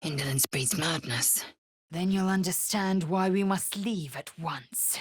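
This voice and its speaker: Fantasy game role: fierce, scornful alien warrior woman. Measured, husky, clipped and imperious. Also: fairly low pitch, no echo, clean studio sound. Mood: menacing. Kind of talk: scripted fantasy video game acting.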